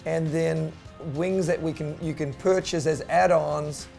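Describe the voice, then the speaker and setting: A middle-aged man with a New Zealand accent tells the story of his company in a relaxed, casual way, stopping often on "uh". His voice sits a little high and has a strong gravelly fry. Soft music plays faintly behind him. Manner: relaxed, with frequent "uh" pauses. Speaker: company co-founder. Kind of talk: casual promotional chat.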